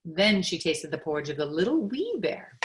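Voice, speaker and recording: Woman, storybook narrator, lowish voice, animated melody, deliberate expressive delivery. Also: a little hiss or hum. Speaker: storybook reader. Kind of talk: read-aloud children's picture book narration.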